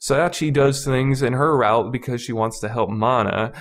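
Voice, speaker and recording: A man's voice: medium pitch, normal speed. A man hosting a review podcast in a casual, opinionated style, rambling emphatically and repeating his words as he argues his points. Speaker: podcast host reviewing a visual novel.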